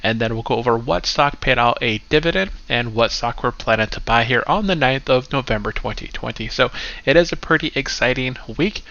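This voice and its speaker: A man runs through his portfolio for his channel, congested and a bit stuffy. His voice is bright and forceful with a gravelly edge. The recording sounds dull and lo-fi. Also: mid-range voice, brisk. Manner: upbeat, keeps saying "go ahead". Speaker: investing channel host. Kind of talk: casual finance vlog walkthrough.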